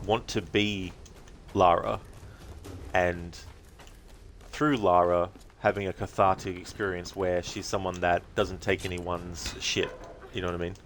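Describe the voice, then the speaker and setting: A man giving casual, reflective livestream commentary as a game streamer, speaking haltingly in short phrases. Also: sits low, flat delivery, slight background noise.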